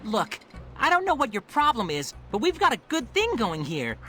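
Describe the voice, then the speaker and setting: A greedy, bratty martial arts school boss in a video game. Her voice is high and bright, leaping all over in pitch, with light music behind. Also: wildly swooping pitch, normal speed, heavily acted. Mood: irritated. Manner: flippant, exasperated, bratty. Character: brash, money-minded woman running a martial arts school. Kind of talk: video game character acting.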